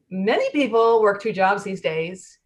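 A woman acts out a fretful wife in a stage play. Her pitch moves around a great deal as she stammers and hesitates, evasive and flustered, like someone hiding a secret. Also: animated, slow. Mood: nervous, evasive. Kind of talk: play reading.

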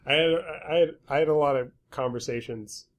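A man co-hosting a podcast in casual chat, halting and repeating words as he searches for his point. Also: mid-range voice. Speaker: podcast co-host.

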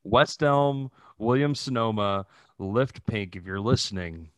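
A man's voice: lowish voice, lively intonation, a little slow, no room sound. A man chatting casually as a podcast co-host, slangy, bantering and profane. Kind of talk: casual chat.

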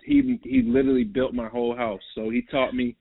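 A man's voice: medium pitch, sing-song, brisk, gravelly, slight background noise. A young man from New York, a basketball guest in a casual interview, rambling and repeating himself with lots of "like" and "bro". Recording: tinny phone-like line, dry.

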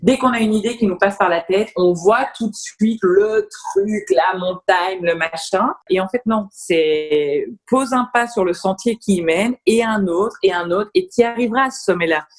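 Woman, 40s, founder guest; energetic, thinks aloud, mid-range voice. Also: mid-range voice, moderate pace, hardly any pauses. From Belgium. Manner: lively, enthusiastic, talks through ideas with fillers. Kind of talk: casual interview chat.

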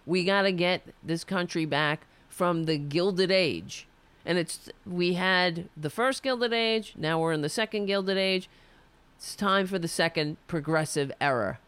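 A woman's voice: fairly low pitch, unhurried, fairly bright tone, clear, resonant tone, no echo. A woman hosting a political show, delivering an impassioned, ranting monologue while losing her voice. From United States. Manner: fiery, ranting, restarts mid-sentence.

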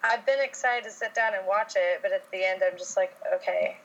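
A woman's voice: mid-range voice, brisk, muffled recording. A woman from Texas chatting casually on a podcast, easygoing and rambling. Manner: easygoing, rambling, lots of ums. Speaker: podcast co-host.

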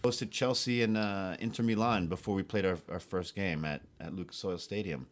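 A man's voice: deep voice, fairly even intonation, moderate pace. A man, telling his life story casually as a podcast guest. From Geneva, Switzerland and United States.

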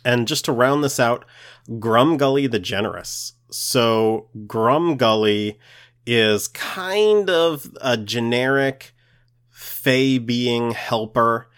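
A man co-hosting a podcast, explaining lore in a halting way as he searches for words. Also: mid-range voice, fairly bright tone, clear, resonant tone. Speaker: podcast co-host.

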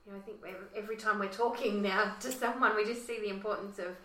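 A woman host with a croaky voice, chatting warmly and using lots of fillers. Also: medium pitch, fairly even intonation, fast talker, recorded from a distance, a little hiss or hum. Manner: chatty, lots of "you know". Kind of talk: casual discussion. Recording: roomy echo.

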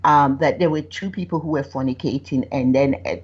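A woman's voice: extremely low pitch, a little fast, darkish tone, husky, lo-fi sound. A woman who grew up in Ghana, a writer guest telling candid personal stories and laughing often. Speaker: author guest. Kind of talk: candid conversational storytelling.

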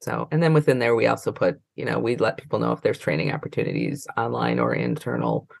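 A woman's voice: rumbling low, animated, fast talker, gravelly, a little mellow, no echo. An American woman talking casually as an interview guest, rambling and often trailing off mid-thought. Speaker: interview guest, librarian and trainer.

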